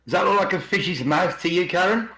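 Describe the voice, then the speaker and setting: A man's voice: high voice, brisk, bright, forceful tone. A man giving an informal presentation with commentary over a video call, often asking rhetorical questions and repeating phrases for emphasis. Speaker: video channel host. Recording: echoey video call audio.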